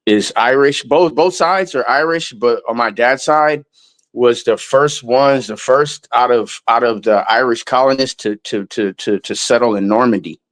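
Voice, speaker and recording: A man's voice: medium pitch, moderate pace, fairly bright tone. A man in a casual interview, talking in a loose, rambling way with lots of restarts and some swearing. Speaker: guest musician.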